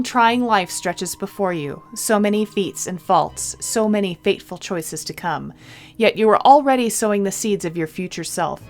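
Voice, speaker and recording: Woman, medium pitch, let's-play narration, expressive storybook reading, casual commentary, soft game music in background. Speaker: game streamer.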